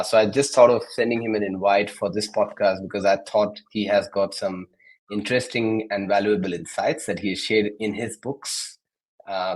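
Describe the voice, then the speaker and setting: A man's voice: lowish voice, rough recording. A man hosting a podcast, putting questions to his guest in a conversational way. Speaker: podcast host. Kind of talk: conversational interviewing.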